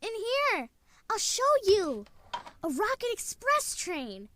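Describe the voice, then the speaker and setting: Woman playing an eager, high-pitched little boy. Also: huge pitch swings, fairly bright tone, slight background noise, full character voice. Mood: excited. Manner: childlike, earnest, halting when confessing. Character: eager, innocent little boy, earnest and excitable. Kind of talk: old-time radio drama acting.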